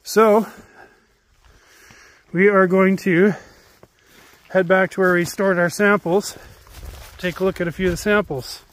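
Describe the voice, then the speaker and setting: A man's voice: sits high, fairly even intonation, a little slow, pauses often, fairly bright tone. A man casually narrating outdoors at a snowy old mine site, naming minerals as he holds up rock samples for a handheld camera. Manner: matter-of-fact, names minerals while showing rock samples. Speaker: mineral exploration vlogger.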